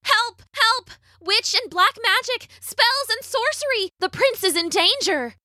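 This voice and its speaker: Woman as young storybook heroine, very high voice, bright, urgent, pleading, brave. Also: normal speed, no room sound, studio quality. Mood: panicked. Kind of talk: storybook character voice.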